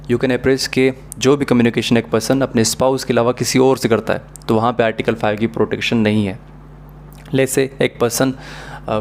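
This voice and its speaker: A man's voice: mid-range voice, a little mellow, a little hiss or hum. A man with a Pakistani accent explains material as a law lecturer, in a methodical, didactic way. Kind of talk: explanatory lesson mixing Urdu and English.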